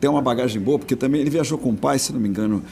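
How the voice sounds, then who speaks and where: A man co-hosting a football talk show, chatting quickly and casually and tagging many of his sentences with little questions. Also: medium pitch, creaky, darkish tone. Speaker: sports show co-host.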